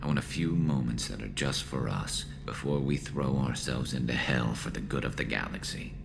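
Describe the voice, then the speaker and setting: A man voicing an awkward, earnest alien soldier in a video game, gravelly, hesitant and dryly wry. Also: rumbling low, moderate pace, a little hiss or hum, exaggerated voice. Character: awkward, earnest male alien soldier, battle-scarred and loyal.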